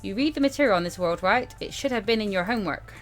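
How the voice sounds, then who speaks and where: A woman reads the part of an experienced male mentor in a story game. He lectures drily, with a sardonic, irritable edge, in a medium-pitched voice. Music plays behind. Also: lively intonation, fast talker, lightly acted. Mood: dry, sardonic. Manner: dry, lecturing.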